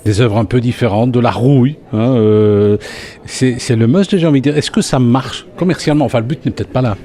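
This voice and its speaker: Male host, warm mellow voice. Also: medium pitch, brisk. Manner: repeats intro lines, stumbling restarts, chatty. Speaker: radio host. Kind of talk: local radio interview.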